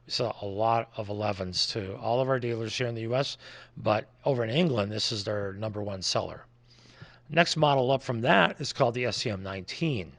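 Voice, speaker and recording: An American man with a noticeably gravelly, creaky voice at an ordinary male pitch. He talks through products informally, explaining things in a plain-spoken way like a distributor showing his range. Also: fairly bright tone. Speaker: audio distributor presenting products.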